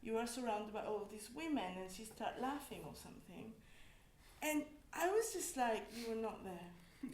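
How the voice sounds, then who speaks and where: A woman's voice: a little high, expressive, a little mellow, a little hiss or hum. A woman from Mexico, an academic on a panel, speaking reflectively with frequent restarts. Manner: reflective, halting, many restarts. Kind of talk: personal testimony on a panel. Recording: echoey room.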